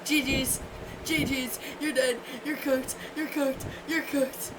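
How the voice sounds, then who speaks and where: A woman's voice: high voice, fairly even intonation, slow. A woman chatting casually as she reacts to videos, tossing out short, slangy, joking remarks. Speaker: reaction streamer watching scary videos. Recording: heavy background noise.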